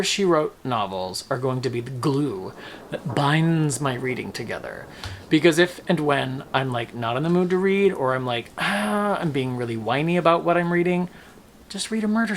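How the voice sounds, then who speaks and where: A man's voice: on the high side, a little hiss or hum. A man talking casually as a book-review vlogger, with a wry, playful, emphatic delivery that draws out words. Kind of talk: casual, chatty book wrap-up.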